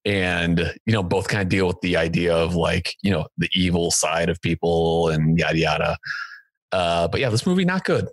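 Low-pitched, animated male podcast host. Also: normal speed, no echo. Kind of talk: casual film review discussion. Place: indoor home room, remote video call. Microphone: close podcast microphone with headphones.

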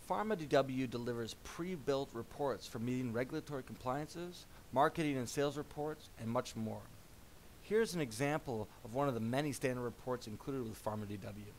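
A man narrating a software product demo as a voice-over, in a measured explanatory tone. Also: mid-range voice, slight background noise, low-quality recording.

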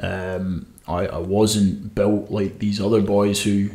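A man's voice: lowish voice, little pitch movement, slow pace, darkish tone, reverberant. A young man with a Scottish accent from the west coast of Scotland, telling his story as a podcast guest, with frequent restarts. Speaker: guest swimmer. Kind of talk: podcast interview. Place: small room with podcast setup. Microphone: desk mic on stand.

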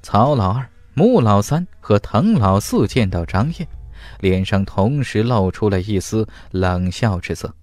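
A man's voice: lowish voice, lively intonation, a little slow, a little mellow, dry recording. A man narrating a fantasy audiobook in a dramatic, scripted style over music. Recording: dry studio voice over music.

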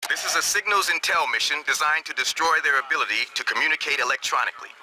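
An actor playing a tough, urgent military commander who gives forceful, clipped orders in a video game briefing. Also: male voice, a little high, fast, rough recording. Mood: urgent, commanding.

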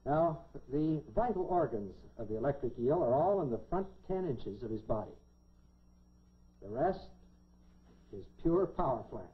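A man's voice: medium pitch, expressive, measured pace. A middle-aged American man presenting a scripted 1950s educational science film in a folksy, dryly humorous manner. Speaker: science presenter in a lab coat. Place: laboratory set, indoors. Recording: dull 1950s film soundtrack, clearly noisy.

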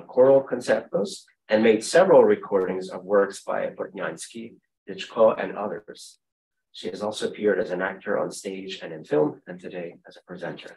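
A man's voice: lowish voice, creaky, a little mellow, poor audio. A man moderating a panel in a seminar room, formally reading a speaker's introduction from notes.

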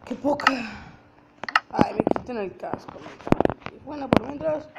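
A woman's voice: sits high, moderate pace, vocal fry. A woman giving casual commentary on her own gameplay, muttering to herself and chanting repeated words. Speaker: gamer. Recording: muffled lo-fi audio with loud noise.

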